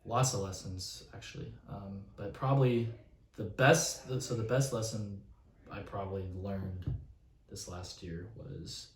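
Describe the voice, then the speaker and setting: A twenty-one-year-old man answering interview questions hesitantly, with many pauses and restarts. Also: lowish voice, steady tone. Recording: roomy, distant mic, faint noise.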